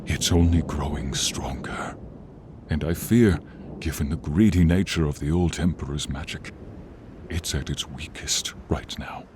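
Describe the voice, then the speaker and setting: Guy doing a big noble dragon, really deep voice, solemn and heroic fantasy asmr thing, thunder and rain going behind it. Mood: grave, uneasy. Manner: solemn, grandiose, deliberate storytelling delivery. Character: noble friendly male dragon, earnest and brave, loyal companion. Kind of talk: fantasy ASMR roleplay, dramatic monologue to listener. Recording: clear storm noise behind the voice. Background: rain, thunder and rumbling storm ambience.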